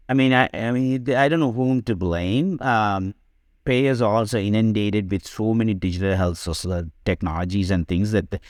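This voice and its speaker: A man's voice: lowish voice, dry recording, studio quality. A man giving conversational interview answers as a podcast guest, explaining things and often checking in with a "right?". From Sri Lanka. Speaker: podcast guest, digital health company co-founder.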